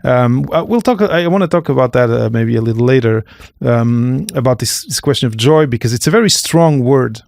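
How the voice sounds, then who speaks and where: Guy hosting a podcast chat, gravelly fry in his voice, kinda halting, rephrases a lot, very dry sound. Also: mid-range voice, animated, darkish tone, no room sound. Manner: halting, rephrases and repeats phrases. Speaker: podcast host. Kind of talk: conversational podcast interviewing.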